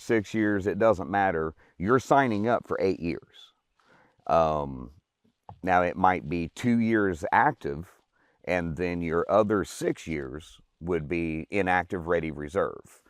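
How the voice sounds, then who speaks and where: A man's voice: low voice, smooth, dry recording, clean studio sound. An American man in his forties, an Army veteran, telling his own story informally in plain, colloquial words.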